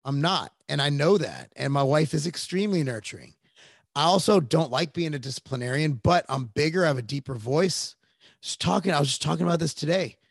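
A man's voice: on the high side, a little fast, gravelly, husky, no echo, studio quality. A middle-aged man hosting a political podcast, blunt and emphatic, swearing casually as he talks. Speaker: podcast host.

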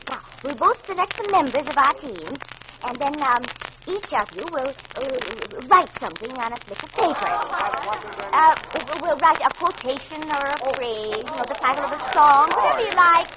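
A woman's voice: high voice, animated, normal speed. An actress playing a gushing, bossy middle-aged mother in a chattering, fluttery manner, in 1940s radio drama style. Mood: flustered, explaining. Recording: noisy old radio recording.

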